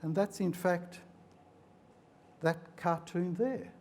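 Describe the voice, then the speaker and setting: A man's voice: high voice, slow pace, darkish tone. A man whose mother tongue is Hungarian, a clinician giving a live presentation in an explanatory, didactic manner. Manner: explanatory, didactic, speaks in metaphors. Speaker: clinician presenting at a mental health forum.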